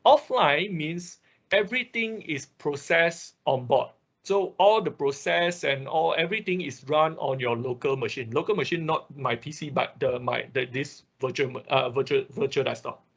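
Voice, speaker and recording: A man teaching an informal online software tutorial, explaining step by step in a halting way with frequent check-in tags. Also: fairly high pitch, muffled recording. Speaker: instructor of an online robotics class.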